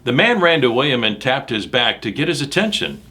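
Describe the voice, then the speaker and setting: A man reads a children's story aloud with a very lively, swooping delivery. His voice is bright and forceful at a medium pitch, and he stresses key words dramatically while talking straight to the young listeners. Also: a little fast. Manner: dramatic, emphatic, addressing young listeners directly. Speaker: storyteller reading a picture book aloud to children. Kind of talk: animated read-aloud with asides about the pictures.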